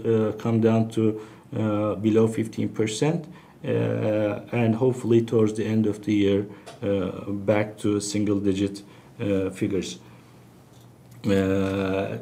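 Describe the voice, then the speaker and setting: Low, mellow-voiced man, halting business speaker. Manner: halting, hesitant delivery with long searching pauses. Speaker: bank executive presenting on an earnings call. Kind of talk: business presentation, unscripted financial briefing.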